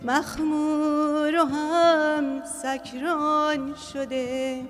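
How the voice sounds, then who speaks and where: Woman singing a slow devotional song, lots of hall echo, soft music behind. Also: sits high, level tone. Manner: slow, drawn-out sung phrases. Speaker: singer. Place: live holy day program in a hall. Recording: long hall reverb with music. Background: instrumental music.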